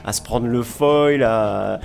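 A man from southern France giving an informal interview as a sports instructor, explaining with enthusiasm and frequent pauses. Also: medium pitch, creaky, darkish tone, faint music behind. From Montpellier, southern France. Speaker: foil school owner and instructor, interview guest.

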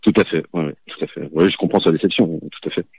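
An actor raised in Toulouse, chatting in a relaxed way on the phone from his mother's home, with lots of fillers and easy agreement. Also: low-pitched, fast, husky, dry recording. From southern France, raised in Toulouse. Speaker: actor guest. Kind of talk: casual phone interview. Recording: dry, tinny phone line.

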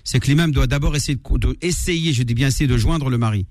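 A man's voice: mid-range voice, quick pace, a little mellow, dry recording, studio quality. A man, an imam answering callers on a radio call-in show, firm and repetitive, sprinkling in Arabic words.

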